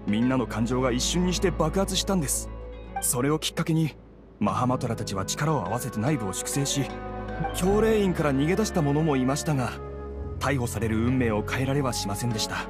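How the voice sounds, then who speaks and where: A man's voice: mid-range voice, animated, a little fast, over music, natural voice. A man voicing a polite, earnest young officer in an anime game, reporting in a formal, orderly way. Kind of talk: Japanese anime game voice acting.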